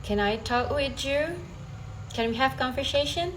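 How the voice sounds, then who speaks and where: A young woman with an Indonesian accent, a native Bahasa Indonesia speaker, practicing English slowly and hesitantly, with frequent restarts. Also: sits high, fairly bright tone, slight background noise, poor audio. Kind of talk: casual conversation practice.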